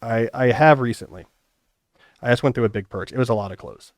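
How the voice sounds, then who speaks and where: A man's voice: medium pitch, fairly quick, no echo, clean studio sound. A middle-aged man hosting a podcast in casual, joking conversation, with loose restarts. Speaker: podcast host.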